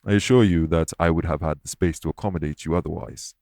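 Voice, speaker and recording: A man playing a courteous, dour immortal king in an audio roleplay, speaking in a formal, measured way. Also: sits low, a little mellow, dry recording, clean studio sound. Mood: courteous, reassuring. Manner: formal, measured, gravely polite. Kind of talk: immersive audio roleplay acting addressed to listener.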